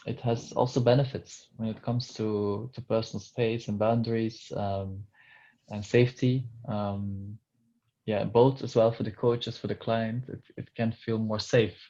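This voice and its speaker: Hesitant Dutch man, low voice. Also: flat delivery, unhurried, dark tone, dull audio. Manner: hesitant, many fillers and restarts. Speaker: touch and intimacy coach. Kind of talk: video call interview.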